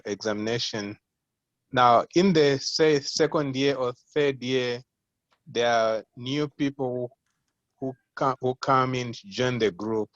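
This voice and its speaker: A man's voice: medium pitch, fairly bright tone, dry recording. A man at an association meeting asking a brief follow-up question. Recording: dry, dull call audio.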